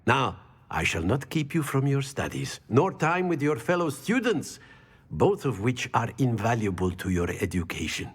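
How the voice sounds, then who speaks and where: A cheerful professor at a wizarding school in a fantasy game. His voice swoops widely and has a husky edge, with courteous formal phrasing, ringing through a long-reverb hall. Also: medium pitch, big pitch swings, normal speed. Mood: warm, pleased. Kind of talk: scripted game dialogue.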